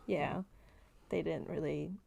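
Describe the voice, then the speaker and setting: A woman talks casually as a podcast co-host. Her voice sits a little low and often drops into a creaky fry. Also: fairly even intonation, slow, over a noisy crowd. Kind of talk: casual chat.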